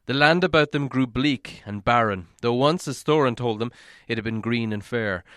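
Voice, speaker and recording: A man reading a story aloud as narration, in a measured, dramatic storytelling manner. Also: medium pitch, a bit bright, no room sound, clean studio sound. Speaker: audiobook reader.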